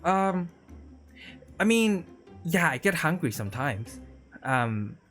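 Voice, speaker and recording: Guy doing a chatty young time wizard, high-ish voice, rambly, kinda broken grammar. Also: very animated, slow, fairly bright tone, faint music behind, heavily acted. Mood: easygoing. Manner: rambling, restarts often, slightly broken grammar. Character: young cheerful male time wizard, cookie-loving. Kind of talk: roleplay chat.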